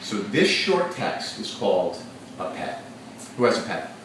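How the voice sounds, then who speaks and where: A male teacher leading an interactive read-aloud, questioning his class in a lively, engaging way. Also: lowish voice, a little slow. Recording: echoey classroom, distant mic, noisy.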